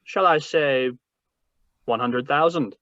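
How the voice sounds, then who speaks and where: A man's voice: mid-range voice, takes it slowly, bright, forceful tone, no room sound. A man playing a suave, wordy older crime boss with pompous, florid politeness in a 1940s-style radio drama over a video call. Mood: smoothly bargaining. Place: remote read-through over a video call. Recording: muffled, dry video-call audio.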